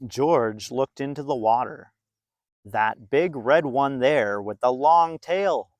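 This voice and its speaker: A man narrating a children's storybook in an expressive, deliberate way, drawing out phrases for young listeners. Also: medium pitch, no echo. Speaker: storybook reader.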